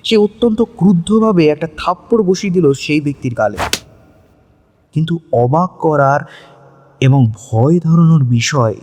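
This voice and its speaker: A man narrating a dramatic audio story, with measured, suspenseful phrasing. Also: fairly high pitch, expressive, a little mellow.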